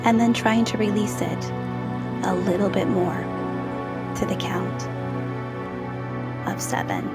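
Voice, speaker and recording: A woman leading a guided meditation in a slow, soothing, soft-spoken way with gentle pauses. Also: mid-range voice. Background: new-age music.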